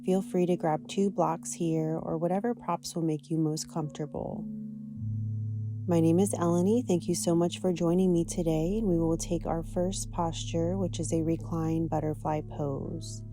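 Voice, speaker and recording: A woman teaching yoga, guiding a practice in a gentle, soothing, unhurried manner. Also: fairly low pitch, fairly even intonation, over music. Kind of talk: guided yoga and meditation instruction.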